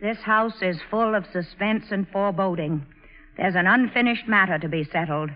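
Actress as intense, headstrong young tomboy, old radio. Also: female voice, mid-range voice, moderate pace, close to an everyday voice. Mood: gloomy, solemn. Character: headstrong, gloomy, melodramatic tomboy young woman. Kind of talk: 1940s radio drama acting. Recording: muffled old 1940s radio broadcast.

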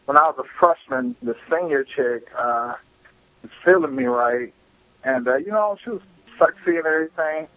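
A man's voice: on the high side, lively intonation, moderate pace, no room sound. A young man leaving a voicemail for a show, telling casual personal stories in a candid, self-deprecating, profane way. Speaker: listener calling a podcast voicemail. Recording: muffled lo-fi voicemail with music.

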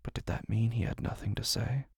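A man reading scripted literary horror narration in a brooding, deliberate way, often dropping to a hush. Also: mid-range voice, fairly even intonation, gravelly, in a whisper.

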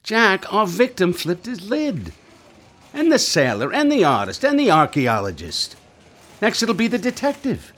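A man's voice: high voice, wildly swooping pitch, measured pace, fairly bright tone, exaggerated voice. A man playing a skeptical, wisecracking police sergeant in a radio drama, exasperated in manner. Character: gruff, hard-boiled police sergeant, skeptical.

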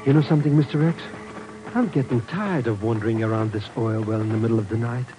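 An actor playing an excitable, easily alarmed comic sidekick to a secret agent in a 1950s radio drama. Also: male voice, medium pitch, fast, music in the background, natural voice, low-quality recording. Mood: grumbling, curious. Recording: hissy old radio broadcast.

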